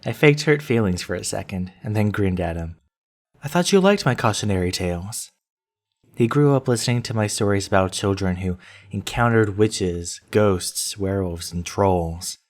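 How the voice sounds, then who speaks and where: A man reading a scripted first-person horror story in a deliberate storytelling manner. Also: sits low. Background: plucked guitar music.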